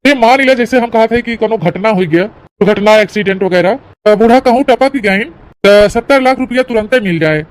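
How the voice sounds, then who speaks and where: A woman's voice: medium pitch, moderate pace, lightly acted. A woman voicing a patient, persuasive village insurance agent, a man, in an Awadhi comedy cartoon, explaining things in colloquial salesman style with an Awadhi accent.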